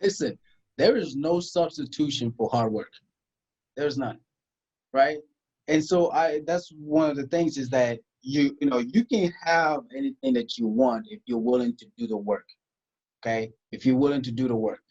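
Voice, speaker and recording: A man's voice: medium pitch, animated, normal speed, darkish tone, muffled recording. Emphatic man born in South Sudan. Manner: emphatic, rhetorical questions, frequent "you know" and "right?". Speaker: guest, tax strategist. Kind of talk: conversational interview.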